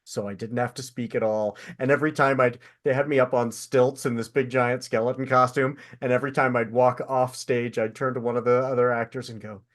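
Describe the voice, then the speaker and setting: A man with a medium-pitched voice speaks as a podcast host in relaxed conversation. He has an animated, anecdotal way of talking. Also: fairly quick, hardly any pauses. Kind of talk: casual chat.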